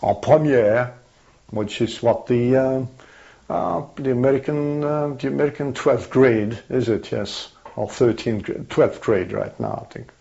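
An older man with a French accent, a native French speaker, recalling his life in an oral history interview, pausing often with fillers and restarts. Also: mid-range voice, gravelly, mellow. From France. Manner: hesitant, many fillers and restarts. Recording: dull lo-fi archival tape.

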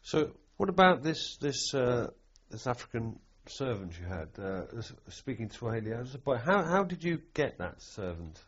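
A man's voice: mid-range voice, big pitch swings, normal speed, hardly any pauses, slightly rough. A man putting probing questions in an oral history interview, often rephrasing as he goes. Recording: dull, muffled tape sound.

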